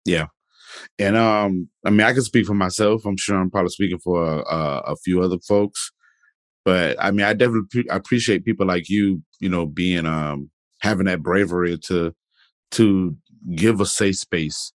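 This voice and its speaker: A man's voice: low-pitched, normal speed, dry recording. A man hosting a podcast, asking questions in a loose, conversational way. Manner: loose and conversational, often adds "you know what I'm saying". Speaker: podcast host. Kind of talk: casual interview chat.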